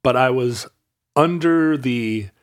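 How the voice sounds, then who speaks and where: A man's voice: medium pitch, slow pace. A man from Alaska telling a long, rambling anecdote in casual conversation, full of restarts and wry asides. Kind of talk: casual chat, storytelling.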